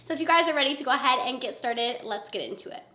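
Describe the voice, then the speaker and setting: A woman in her twenties, hoarse and congested from a cold, chatting excitedly as she unboxes beauty products. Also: high-pitched, racing, roomy sound. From Michigan. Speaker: beauty YouTuber. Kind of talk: casual unboxing vlog.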